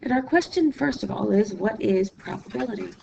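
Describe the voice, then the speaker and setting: Hoarse, gravelly woman math teacher. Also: mid-range voice, expressive, darkish tone, dull audio, a little hiss or hum. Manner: patient step-by-step explaining, asks "okay?"; writes while talking, pausing mid-sentence. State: hoarse, losing her voice from illness. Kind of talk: recorded classroom lesson.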